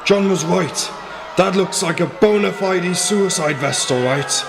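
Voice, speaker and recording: A man's voice: high voice, a little slow, vocal fry, a crowd in the background, background noise. An actor playing an earnest male investigator in a radio play, speaking formally about a tragic case. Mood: alarmed. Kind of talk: radio play acting and scripted narration. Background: music.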